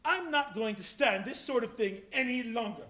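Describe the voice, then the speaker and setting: Give me a joyful old miser, played by a man on stage, high and sing-song, exclaiming and laughing through every line. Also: expressive, moderate pace, bright, forceful tone, full character voice. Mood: mock stern, jolly. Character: elderly male miser, joyful and giddy. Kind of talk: live stage reading. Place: large hall on a stage.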